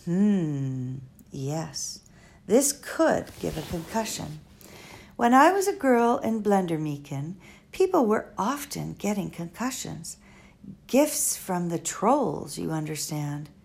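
A woman's voice: lowish voice, big pitch swings, slow, gravelly, a little mellow, exaggerated voice. Storybook role: eccentric elderly woman scholar, put on by a female narrator, formal and rambling, lilting delivery. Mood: musing. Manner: stilted formal phrasing, musing. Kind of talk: audiobook character reading.

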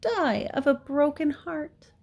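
A woman's voice: sits high, lively intonation, takes it slowly, creaky, darkish tone, voice pushed up, full character voice. A woman voicing a grumpy old male rat in a storybook, growling, mocking and full of self-pitying complaint.

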